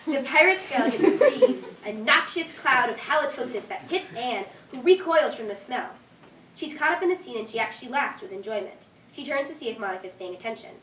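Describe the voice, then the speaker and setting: A woman's voice: on the high side, lively intonation, fairly quick, fairly bright tone, far from the mic. Young woman narrating in an echoey room. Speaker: narrator reading stage directions. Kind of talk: scripted narration at a staged reading. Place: live staged reading in a room. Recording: echoey room from afar, faint noise.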